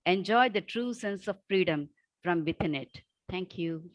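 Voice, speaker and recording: A woman's voice: on the low side, expressive, unhurried, no echo. A woman with a South Indian accent introducing and reciting poetry in an earnest, declamatory manner over a video call. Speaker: poet.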